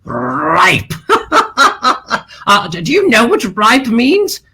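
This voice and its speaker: A high, lilting voice that swoops up and down: a woman doing a vain, over-the-top kids' show hostess. She drags out her words and bursts into cackling laughs, bright and sharp in tone. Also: very animated, gravelly, slight background noise, exaggerated voice. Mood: gushing, chatty. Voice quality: theatrical, drawn-out words, breaks into cackling laughs. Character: flamboyant, self-adoring female TV hostess, grand and theatrical. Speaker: children's show host parody. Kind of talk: comic character sketch.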